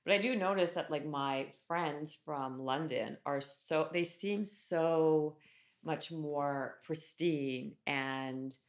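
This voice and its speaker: A woman in her forties from Canada hosting a podcast interview, animated and chatty, restarting sentences with lots of "like", recorded on a boom mic in a studio room. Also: takes it slowly. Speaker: podcast host. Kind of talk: casual interview chat. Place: studio room with wood-panel wall. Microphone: desk microphone on boom arm.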